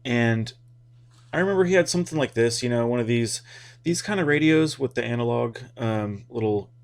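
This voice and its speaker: A man's voice: mid-range voice, normal speed. An American man giving a casual, rambling explainer in an informal, hesitant way, as if thinking aloud. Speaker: hobby radio video host.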